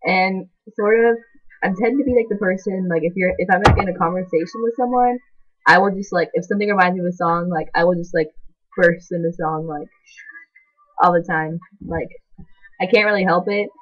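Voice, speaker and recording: A woman's voice: fairly low pitch, a little fast, highs cut off. A teenage girl chatting to camera about herself in a rambling way, filling her talk with "like". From half Chilean, half American. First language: Spanish. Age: teens. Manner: chatty, rambling, constant "like" filler. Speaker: channel co-host introducing herself. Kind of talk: casual talking-to-camera vlog.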